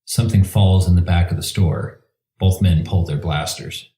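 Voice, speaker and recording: Very deep male narrator in a roomy space. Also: fairly even intonation, normal speed, warm tone. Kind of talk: scripted narration reading scene directions. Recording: roomy echo.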